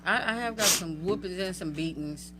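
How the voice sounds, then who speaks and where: A woman's voice: on the low side, moderate pace. A woman from the Southern US with a Southern accent, a podcast guest who talks in a laid-back, hesitant way. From Southern United States. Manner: laid-back, hesitant, lots of agreeing murmurs. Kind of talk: casual podcast chat. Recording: noisy, humming background.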